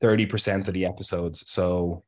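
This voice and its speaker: A man's voice: low-pitched, fairly even intonation, moderate pace, a little mellow. A man co-hosting a podcast, talking casually with a blocked nose that makes him sound nasal. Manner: chatty, restarts, frequent "you know" and "like". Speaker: podcast co-host.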